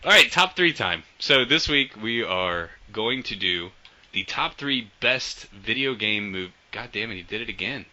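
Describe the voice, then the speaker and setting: Bright-voiced casual podcast host. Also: male voice, fairly low pitch, lively intonation, measured pace. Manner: enthusiastic, swears often, trails off quietly. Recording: dull lo-fi audio.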